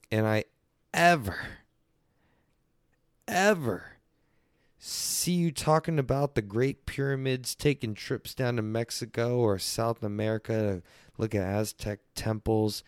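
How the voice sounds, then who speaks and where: A man's voice: lowish voice, darkish tone, clean tone, no echo, studio quality. A man hosting a crude solo comedy podcast, rambling loosely and swearing freely. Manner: profane, loose and rambling, mock-defensive. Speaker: podcast host.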